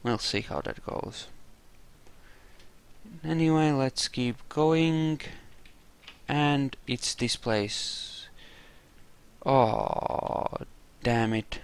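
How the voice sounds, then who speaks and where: A man's voice: mid-range voice, very slow, vocal fry, pauses often, clear, resonant tone. A man giving casual roleplay commentary as he plays a video game, muttering to himself with playful exclamations. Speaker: gamer, let's play host.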